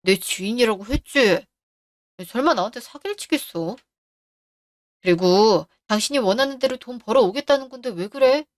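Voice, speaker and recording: A woman's voice: fairly high pitch, lively intonation, normal speed, no echo, studio quality, natural voice. A woman voicing a scheming middle-aged husband who brushes off his wife's worries, in a radio drama. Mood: defensive.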